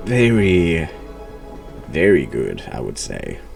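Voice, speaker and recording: Very deep, creaky man, slow and halting. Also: wildly swooping pitch, faint music behind. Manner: halting, hesitant, word-repeating. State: has a cold. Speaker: streamer and horror game reviewer. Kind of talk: casual game commentary.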